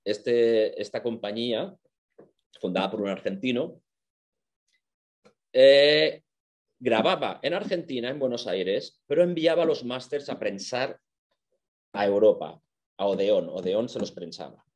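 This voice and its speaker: A man's voice: mid-range voice, expressive, a little slow, long pauses, darkish tone. A man with an accent from Spain, giving an informal explanatory lecture in an enthusiastic, conversational manner.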